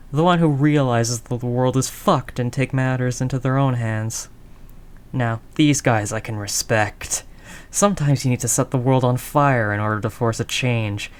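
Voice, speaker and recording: A man's voice: medium pitch, clean tone, lightly acted. A man playing a world-weary, doting mafia boss in an intimate roleplay, talking in teasing street slang with pet names. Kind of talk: intimate scripted roleplay audio drama monologue.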